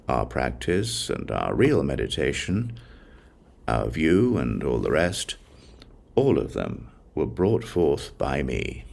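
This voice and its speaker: Male audiobook reader, creaky voice, lively rise and fall in pitch, solemn, deliberate pauses, sacred text narration. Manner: solemn, measured, short phrases with deliberate pauses. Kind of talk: scripted reading of a sacred text.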